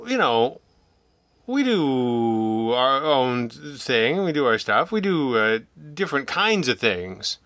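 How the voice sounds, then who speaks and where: A man being interviewed casually, speaking in a halting, digressive way and often interrupting himself. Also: medium pitch, sing-song, a bit bright, dry recording. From Canada. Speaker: podcast guest, audio drama writer and producer.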